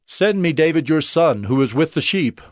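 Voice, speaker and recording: A man's voice: a little high, expressive, normal speed, no echo, clean studio sound, lightly acted. An actor playing a commanding, imperious male king with formal speech in a dramatized Bible story. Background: music.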